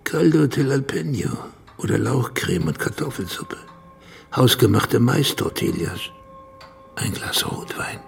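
Solemn narrator companion, super creaky gravel voice, intimate and measured, radio drama, bit of music behind. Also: male voice, mid-range voice, animated. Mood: fond. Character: solemn male narrating companion addressing a ghost woman.

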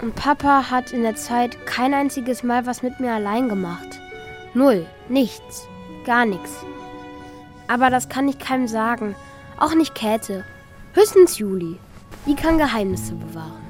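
A woman's voice: a little high, unhurried, soft background music, natural voice. A woman playing a thoughtful child who narrates their own story in a scripted audio drama. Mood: confiding.

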